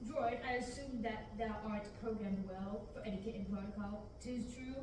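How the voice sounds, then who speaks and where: A woman's voice: mid-range voice, steady tone, normal speed. A young adult woman reading a mock-Shakespearean script aloud as an amateur at a table reading. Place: large indoor rehearsal room, far camera. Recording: distant camera in echoey noisy room.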